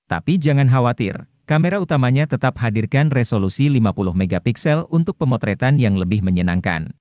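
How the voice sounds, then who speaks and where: A man's voice: medium pitch, lively intonation, fairly quick, a little mellow, no echo, lo-fi sound. A man with an Indonesian accent reading a scripted gadget review in a formal, informative way, as a voice-over close to the microphone. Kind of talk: scripted narration for a product review.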